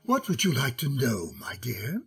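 An actor playing a courtly, cautious middle-aged gentleman lawyer in an old-time radio drama, husky, courteous and hesitant. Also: medium pitch, wildly swooping pitch, moderate pace, soft background music, full character voice. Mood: guarded, grave.

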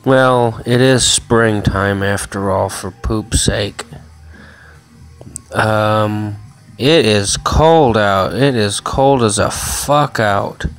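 A man's voice: lowish voice, very slow, clean tone, soft background music. A man rambling casually and swearing often in a home vlog, filmed on a handheld phone in a small room. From Chicago area. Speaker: vlogger. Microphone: phone camera held in hand.

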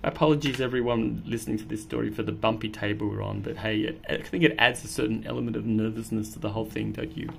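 A woman's voice: rumbling low, steady tone, quick pace, gravelly, hardly any pauses, slight background noise. A woman storyteller reading a picture book to a child, with casual, encouraging asides to the listener.

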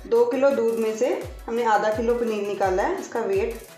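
A woman's voice: on the high side, steady tone, dark tone. A woman hosting a cooking channel, giving patient step-by-step kitchen instructions and repeating each step. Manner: unhurried, repeats steps patiently. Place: home kitchen. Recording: roomy, distant sound with faint music.

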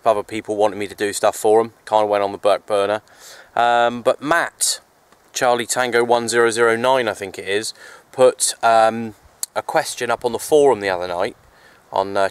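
A man's voice: medium pitch, smooth, no echo. A man in his thirties talking casually and a little hesitantly to a handheld camera outdoors, rambling through explanations as a hobby vlogger. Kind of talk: casual outdoor vlog talk. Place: outdoors in open countryside. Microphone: handheld camera at arm's length.

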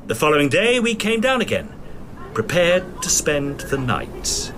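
An animated, formal English gentleman. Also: a little high, unhurried, background noise. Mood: wry narrating. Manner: formal, courteous, slightly hesitant. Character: Edwardian gentleman ghost hunter, polite, fussy, narrating his case. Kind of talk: audio drama acting and first-person narration.

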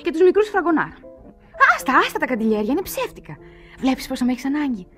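A woman's voice: sits high, big pitch swings, fairly bright tone. A woman playing a headstrong, lovestruck young woman in a Greek radio play, impatient, insistent, bossy and pleading by turns. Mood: happy, bossy. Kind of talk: Greek radio theatre acting. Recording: radio play with music behind.

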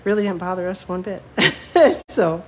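A woman's voice: fairly low pitch, expressive, a little slow, slight background noise. A woman demonstrating card-making live, chatty and self-deprecating with lots of ums, a little sniffly from a cold. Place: home craft desk, seen from above.

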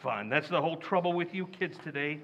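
A man performing a blustering, tight-fisted family man in an old-time radio comedy, loud and indignant. The voice sits high and swings widely, with a bright, forceful edge. The recording sounds dull and lo-fi. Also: lively intonation, a little fast, creaky. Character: blustering stingy working-class dad. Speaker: radio actor.

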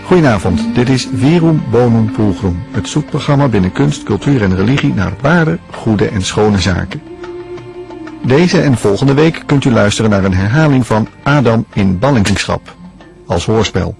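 A man's voice: on the low side, lively intonation, normal speed, creaky, music in the background. A man presenting a radio programme, reading a scripted broadcast introduction.

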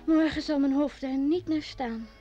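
A woman's voice: high-pitched, normal speed, mellow, soft background music, natural voice. A woman playing a wistful, jealous young innkeeping daughter of a mayor in a radio play, on a dull old recording. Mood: downcast. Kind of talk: radio drama acting.

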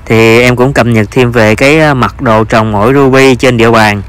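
A man's voice: medium pitch, steady tone, normal speed, smooth. A man with a Southern Vietnamese accent narrates an informal vlog as a farmer, with hesitant, repetitive phrasing.

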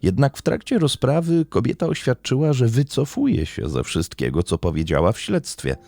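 A man's voice: medium pitch, sing-song, normal speed, gravelly, a little mellow, dry recording, soft background music. A man hosting a true-crime podcast as a scripted narrator.

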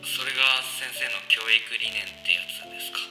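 A man's voice: lowish voice, brisk, pushed and bright. A man acting a young trainee teacher in an audio drama, earnest, deferential and unsure of himself before an admired mentor. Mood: puzzled, respectful. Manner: deferential junior speech to a mentor. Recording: echoey room, clear music underneath.

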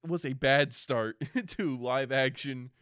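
A man's voice: mid-range voice, slow, a bit bright, smooth, no echo, studio quality. A man co-hosting a casual debate, insistent and given to swearing as he makes his case. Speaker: podcast co-host. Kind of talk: casual debate about films and games.

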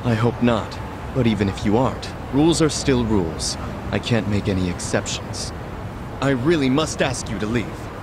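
A man's voice: medium pitch, lively intonation, moderate pace, background noise, natural voice. An actor playing a polite, rule-bound middle-aged club doorman in a video game, speaking formally and firmly. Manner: formal, firm.

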